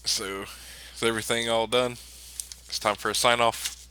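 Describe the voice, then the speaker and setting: Low-ish guy at a game table, super bright clear voice, flat measured delivery. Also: a little hiss or hum.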